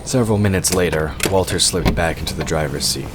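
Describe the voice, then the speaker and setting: A man's voice: extremely low pitch, a little fast. A man reading scripted fantasy narration for an audio drama. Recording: clearly noisy background ambience.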